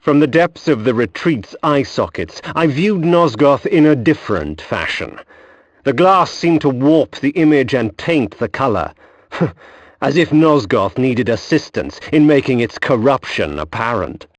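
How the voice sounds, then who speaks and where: Aristocratic vampire, cynical, haughty, bloodthirsty, animated pitch, dry close sound, dramatic game narration. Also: male voice, a little slow, no room sound. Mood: cynical, brooding. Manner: theatrical, eloquent, disdainful narration.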